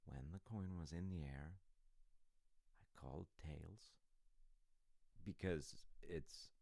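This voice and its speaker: Loving, sheepish husband played by a man for ASMR audio roleplay: gravelly, unhurried, rambling, close and dry sound. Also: extremely low pitch, mellow, dry recording, full character voice. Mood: evasive, playful. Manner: halting, rambling, hedging delivery.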